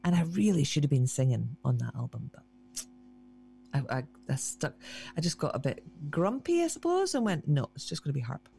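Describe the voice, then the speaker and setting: A woman's voice: deep voice, sing-song, fairly quick, gravelly, dark tone, slight background noise. A woman harpist, chatting casually and self-deprecatingly as a guest in an interview, often restarting her sentences.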